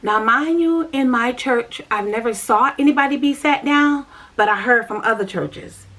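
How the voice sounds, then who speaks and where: A woman's voice: a little high, moderate pace, a bit bright. Chatty woman telling her story. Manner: chatty, repetitive, addresses viewers directly. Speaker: vlogger. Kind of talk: personal storytelling vlog.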